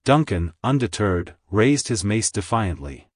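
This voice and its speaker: A man reading scripted story narration in a dramatic, measured storytelling manner. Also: lowish voice, sing-song, dry recording, studio quality. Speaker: narrator, fan fiction reader.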